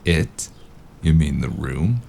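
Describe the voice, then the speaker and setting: Man acting as a very deep, gravelly noir investigator. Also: slow pace, a little hiss or hum, exaggerated voice. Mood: deadpan, dismissive. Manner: dry, understated deadpan. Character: weary, sardonic male noir investigator. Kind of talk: noir audio drama acting.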